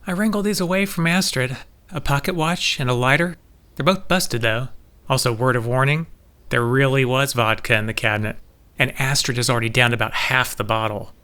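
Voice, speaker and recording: Actor playing plain-spoken man, forceful bright tone, medium pitch, informal, audio drama. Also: a little fast, smooth, close to an everyday voice. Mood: concerned, puzzled.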